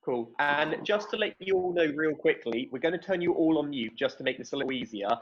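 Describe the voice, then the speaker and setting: A man's voice: a little high, animated, brisk. A man casually hosting an online event over a video call, friendly and informal. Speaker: host. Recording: slightly dull lo-fi sound.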